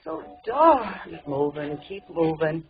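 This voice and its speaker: A woman's voice: sits low, very animated, slow pace, gravelly, a crowd in the background, heavily acted. An actress playing a bossy, impatient young American woman in an old-time radio drama, with slangy, sarcastic delivery. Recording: muffled old radio recording with music.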